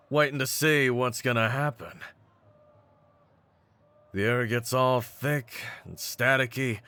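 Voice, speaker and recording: A man plays a brawny pit-fighting champion with a deep voice and total self-belief. He talks in streetwise slang and swaggers through his lines, scornful of rivals and chummy with his partner, in the manner of a fantasy roleplay audio. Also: fairly bright tone. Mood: hushed confidence. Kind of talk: scripted in-character roleplay audio.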